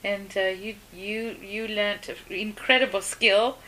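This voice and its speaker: A woman, interviewing a couple in a relaxed conversation and encouraging them. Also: medium pitch, a little slow, rough recording.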